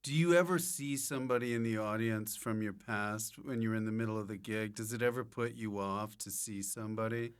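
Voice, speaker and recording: A man's voice: on the low side, fairly even intonation, a little fast, few pauses, smooth. A man hosting a casual comic interview, chatting and asking questions. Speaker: podcast host.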